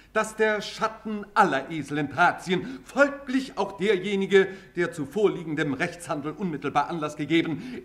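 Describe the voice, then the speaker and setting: A man's voice: high-pitched, sharp tone. An actor playing a pedantic male court assessor in a 1950s radio play, delivering a formal legal oration.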